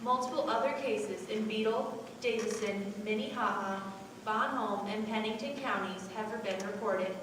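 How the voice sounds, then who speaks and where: A woman's voice: medium pitch, moderate pace, lightly acted. A woman playing a formal news anchor reading bulletins in a stage play. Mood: formal, serious. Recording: echoey hall from far off, noisy.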